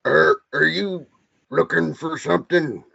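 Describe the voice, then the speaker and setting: A man plays a homeless man hanging around an alley in a play read-through. His voice has a strong creak to it. The recording is somewhat muffled. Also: a little high, measured pace, bright, forceful tone, exaggerated voice. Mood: hesitant. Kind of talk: table read of a stage play.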